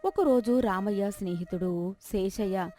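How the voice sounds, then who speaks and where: A woman's voice: medium pitch, measured pace, dark tone, faint music behind. A woman narrating a scripted folk story.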